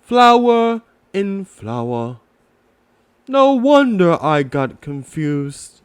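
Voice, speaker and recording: A man's voice: high voice, sing-song, extremely slow, clean tone, pitched above the performer's own voice, heavily acted. A man voicing a mild, simple, earnest toy bear in a children's storybook. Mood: mild, puzzled. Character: gentle, slow-witted, earnest toy bear.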